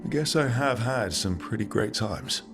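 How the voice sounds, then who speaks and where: An actor playing an easygoing young rebel hero in a fantasy game, speaking in a casual, reassuring way. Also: male voice, lowish voice, expressive, normal speed, creaky, music in the background. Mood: casual, wry. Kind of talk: game dialogue acting.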